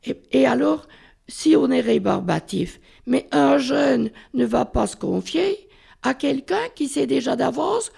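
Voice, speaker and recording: An older speaker on a discussion panel, talking slowly and deliberately in a reflective way. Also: high voice, expressive, creaky, darkish tone, slightly rough.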